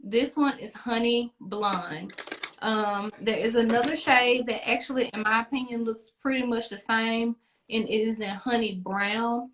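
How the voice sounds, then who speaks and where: Female beauty host, higher register, casual and chatty, playful and encouraging with the audience. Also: steady tone, unhurried. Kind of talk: casual chat.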